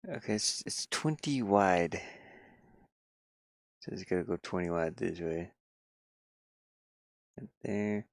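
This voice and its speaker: Male, low gravelly voice, close dry sound; casual self-directed work commentary, lightly playful. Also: lively intonation, slow, pauses often, no echo. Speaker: game developer streaming his pixel art work.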